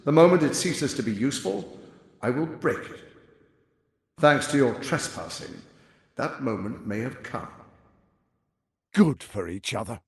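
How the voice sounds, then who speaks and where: An actor playing a scheming, arrogant middle-aged tyrant lord in a video game, with a pompous, silky, menacing delivery. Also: male voice, a little high, gravelly, breathy edge, dry recording, studio quality, exaggerated voice. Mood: cold, threatening, then urgent, commanding. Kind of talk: theatrical video game voice acting.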